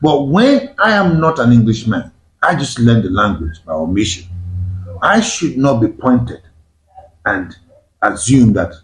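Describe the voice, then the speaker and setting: A man whose first language is Igbo hosts a panel discussion, pressing his guests with emphatic questions. His pitch swings widely as he talks. The sound carries a roomy echo. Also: big pitch swings, measured pace. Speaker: host. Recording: echoey room.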